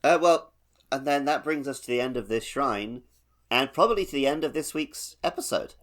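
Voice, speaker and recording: A man's voice: mid-range voice, animated, normal speed. A man co-hosting a podcast, talking casually about video games in an easygoing, conversational way. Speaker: podcast co-host. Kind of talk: casual video game discussion.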